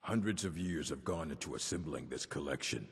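Very deep, husky old orc. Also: male voice, expressive, full character voice. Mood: dry, measured. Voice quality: deep, gravelly rasp. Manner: measured, curt, dry. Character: gruff old male orc librarian, stern and learned. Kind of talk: video game dialogue acting. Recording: long reverb of a stone hall.

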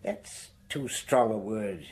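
Low, haughty, guarded businessman. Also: expressive, slow, a little mellow, slight background noise. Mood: guarded, dry. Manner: clipped, evasive, formal. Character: cool, guarded, haughty older businessman of standing. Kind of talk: radio drama acting.

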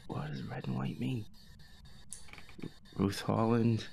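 A gamer with a breathy, whispery voice, nervously muttering to themself while playing through a horror game. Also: medium pitch, very animated, slow pace, a little mellow, slight background noise. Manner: nervous, muttering to self, reluctant. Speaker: solo gamer. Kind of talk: hushed let's-play commentary of a horror game.

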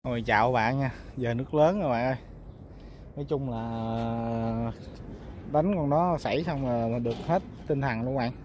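A man's voice: mid-range voice, normal speed, darkish tone. A man with a Mekong Delta accent chatting casually and colloquially to viewers as a fishing vlogger, often exclaiming. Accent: Southern Vietnamese, Mekong Delta. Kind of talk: casual outdoor vlog chat. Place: outdoors on a small boat on a river. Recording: clear outdoor boat noise.

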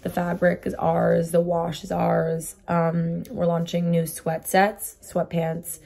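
Hoarse young woman chatting to camera. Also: on the low side, completely flat delivery, takes it slowly, dark tone. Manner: chatty, says "like" constantly. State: hoarse, lost her voice. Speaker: vlogger. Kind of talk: casual vlog chat. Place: bedroom, indoors. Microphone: phone camera at arm's length.

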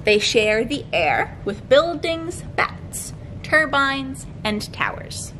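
A young woman with a fairly high voice reads to little children as a storytime librarian. She takes her words very slowly, phrasing them deliberately and articulating each one. A light low rumble sits behind her in the library room. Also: big pitch swings, a bit bright, rough recording. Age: young adult. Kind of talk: read-aloud picture book storytime for young children. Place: library room with bookshelves, indoors.

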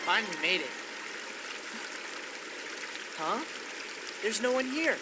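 An actor voicing a brash, indignant teenage boy hero in a video game, loud and slangy. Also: mid-range voice, very animated, slow pace, very noisy. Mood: calling out. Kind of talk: scripted video game voice acting.

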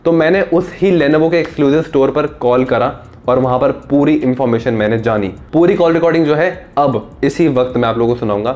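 A man's voice: medium pitch, a little fast, hardly any pauses, a little mellow, dull audio. A young man talking casually and directly to camera as a tech video host. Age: young adult. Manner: informal, direct address with rhetorical questions. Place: small indoor room. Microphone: clip-on lapel mic.

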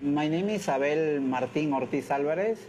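A man in his thirties from Peru with a Peruvian Spanish accent, a chef speaking halting English in an informal interview. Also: fairly high pitch, noisy background. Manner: halting non-native English with repeated phrases. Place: indoors in a restaurant. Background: music.

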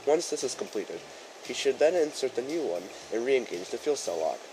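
A man playing a courteous chief engineer who presents a training film in formal, measured, instructional narration. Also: mid-range voice, very animated, background noise, close to an everyday voice. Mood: instructive, formal. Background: music behind the voice.

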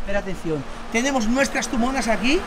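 A man in his thirties with a Spain Spanish accent, chatting casually and excitably as a playful family vlogger. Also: very high voice, a little fast. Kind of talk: casual vlog chat. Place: seaside house terrace and pool, outdoors. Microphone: handheld camera mic. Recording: noisy outdoor action-camera audio.

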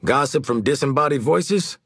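A man's voice: medium pitch, normal speed, a bit bright, husky, no room sound, studio quality. An American man playing a cocky, hardened mercenary marksman and squad leader in a video game, brash, sardonic and commanding, with streetwise delivery. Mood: confident, brash. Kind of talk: video game combat barks and banter.

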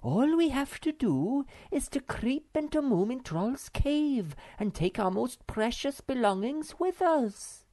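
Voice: high voice, very animated, takes it slowly, few pauses, warm tone, pitched above the performer's own voice, exaggerated voice. A reader voicing the Snork Maiden, a breezy young girl creature who brushes off fuss. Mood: airy. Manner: airy, dismissive.

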